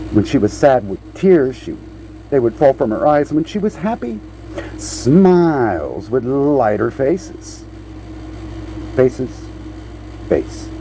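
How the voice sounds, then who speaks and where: A man reading a children's picture book aloud in a halting way, repeating words and slipping in playful asides to the listener. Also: mid-range voice, mellow, a little hiss or hum, low-quality recording. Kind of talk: storybook read-aloud with casual asides.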